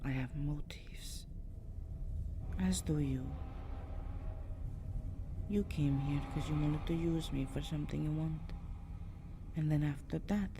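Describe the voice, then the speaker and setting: Woman acting a very low-voiced, breathy vampire woman. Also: fairly even intonation, slow pace, long pauses, background noise. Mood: bitter, resigned. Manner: breathy, often whispered delivery with sighs; stiff, formal, haughty phrasing. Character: proud, guarded, seductive vampire woman, cold yet vulnerable. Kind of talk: ASMR roleplay acting. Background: ambient background sound.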